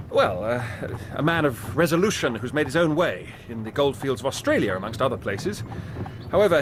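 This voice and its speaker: Genial young gent, enthusiastic, lightly high voice, lively intonation, radio drama. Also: male voice, noisy background. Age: young adult. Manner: effusive, enthusiastic. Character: eager, genial young English gentleman, devoted son.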